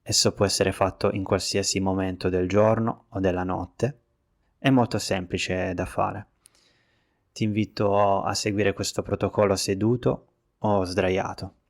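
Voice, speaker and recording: A man with a low-pitched voice leads a guided relaxation session. He gives instructions calmly and in a measured way. Pauses separate his phrases, as a meditation instructor would leave them. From Italy.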